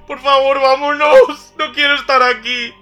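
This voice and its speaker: A man's voice: extremely high pitch, fairly even intonation, measured pace, pushed and bright, faint music behind, full character voice. A man playing a nervous, hesitant young trainee cameraman, reluctant and easily frightened, in a horror audio drama. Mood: panicked. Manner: hesitant, anxious.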